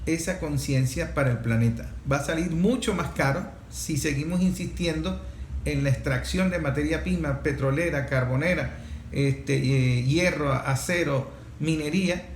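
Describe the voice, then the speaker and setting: A man's voice: fairly high pitch, moderate pace, a little hiss or hum. Venezuelan man hosting, in a roomy echo. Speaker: programme host. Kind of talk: talk programme commentary. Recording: roomy echo, faint music behind.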